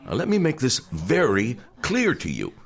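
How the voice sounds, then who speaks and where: A man playing an older, stern and condescending theater financier with a gravelly voice and formal manner in an audio drama. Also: mid-range voice, wildly swooping pitch, moderate pace. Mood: threatening. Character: stern, condescending male theater financier issuing cold ultimatums.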